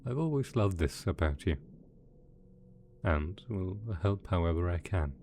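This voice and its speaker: A man playing a doting, possessive mad scientist boss in an ASMR roleplay, soft-spoken, coaxing and sweetly sinister. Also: low-pitched, lively intonation, measured pace, slight background noise. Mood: affectionate, soothing. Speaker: voice actor in audio roleplay.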